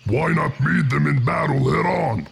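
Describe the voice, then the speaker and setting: Very gravelly, brash orc warrior. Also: male voice, fairly high pitch, measured pace, a little hiss or hum. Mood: impatient. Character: brash, impatient male orc warrior underling. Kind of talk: fantasy game cutscene acting.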